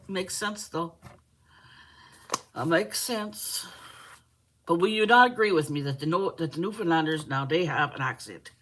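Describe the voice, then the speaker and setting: A woman's voice: on the low side, measured pace, long pauses, a bit bright. A middle-aged Canadian woman hosting a live crochet stream, chatting casually, teasing and laughing often while she reads viewer comments aloud. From Manitoba, Canada.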